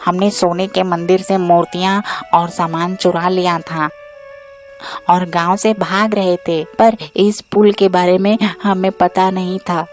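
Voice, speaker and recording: A young male cartoon character, voiced by a woman in a children's moral story. The voice is a little low for a woman. Faint music sits behind it. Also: little pitch movement, unhurried, close to an everyday voice. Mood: pleading.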